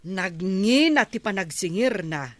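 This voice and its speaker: Casting: actress as wry Ilocano village gossip, unhurried, dry close studio sound, audio drama. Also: female voice, medium pitch, lively intonation, no room sound, natural voice. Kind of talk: Filipino audio drama acting.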